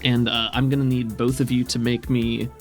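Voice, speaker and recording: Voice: mid-range voice, little pitch movement, normal speed, soft background music. A game master narrating a tabletop role-playing game in a casual way and bantering with the players.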